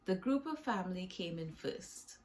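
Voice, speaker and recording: A woman's voice: fairly low pitch, animated, normal speed, darkish tone, slight background noise. A woman reading her own children's book aloud as a storyteller, with short asides to the listener.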